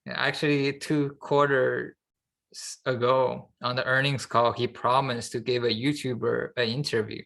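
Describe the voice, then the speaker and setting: Gravelly-voiced man chatting casually. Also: mid-range voice, flat delivery, measured pace, a bit bright, low-quality recording. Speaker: podcast co-host. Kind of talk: casual unscripted podcast chat.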